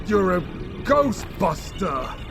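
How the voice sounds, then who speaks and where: Disdainful old spirit, heavily gravelled, very drawn-out, scornful, video game cutscene. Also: male voice, high voice, sing-song, over music, heavily acted. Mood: haughty. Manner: haughty, scornful, drawn-out delivery. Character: ancient, disdainful male spirit, weary of humans.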